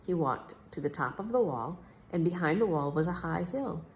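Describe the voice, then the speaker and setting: A woman reading a children's story aloud as a storyteller, with expressive, measured phrasing. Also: lowish voice, slight background noise.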